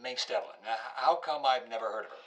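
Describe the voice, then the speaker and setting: Male documentary host, mid-pitched voice. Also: quick pace, fairly bright tone, low-quality recording. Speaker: host, reporter. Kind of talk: audio documentary hosting and interviewing.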